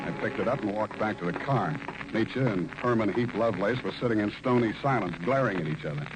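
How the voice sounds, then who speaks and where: An actor playing a tough, wry, unsentimental private detective with clipped delivery in an old-time radio drama. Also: male voice, lowish voice, animated, a little fast, background noise, lightly acted. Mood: terse, grim. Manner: hard-boiled, wry, clipped.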